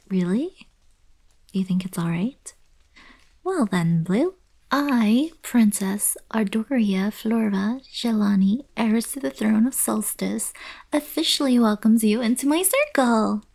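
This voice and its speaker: A woman playing a gentle, regal young alien princess in an intimate ASMR roleplay, soft-spoken and half-whispering, a little hesitant and shy. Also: mid-range voice, creaky, husky, heavily acted. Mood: warm, formal.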